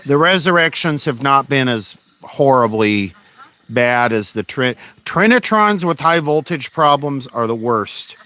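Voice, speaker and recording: A man hosting an electronics repair livestream, chatting casually and answering comments in a halting, unscripted way that often trails off. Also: medium pitch, lively intonation, pushed and bright, no echo.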